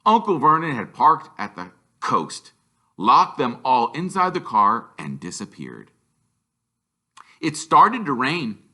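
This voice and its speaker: Male narrator for a children's novel: expressive, emphatic, forceful bright tone, lively swings in pitch. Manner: expressive, emphatic, with drawn-out words. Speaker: teacher reading aloud. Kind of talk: animated storybook narration.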